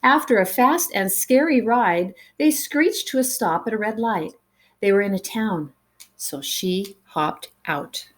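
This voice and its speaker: A woman reading a picture book aloud to children in an expressive way, with dramatic pauses and chatty asides to the listeners. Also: mid-range voice. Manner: animated, dramatic pauses, engages young listeners with questions.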